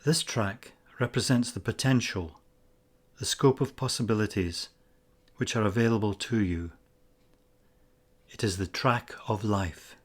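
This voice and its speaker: Low-voiced man guiding a meditation. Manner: slow, measured instructional delivery with pauses. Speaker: guided meditation narrator. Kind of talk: scripted guided meditation narration.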